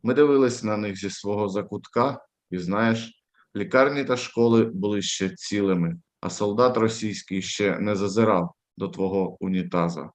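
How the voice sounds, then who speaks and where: A man's voice: lowish voice, moderate pace. A man with a Ukrainian accent, a native Ukrainian speaker, reading and introducing poetry as a poet at a live reading.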